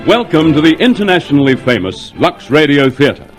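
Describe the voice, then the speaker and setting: A man's voice: a little high, sing-song, normal speed, over loud music. A man announcing an old-time radio show in a formal, showy manner.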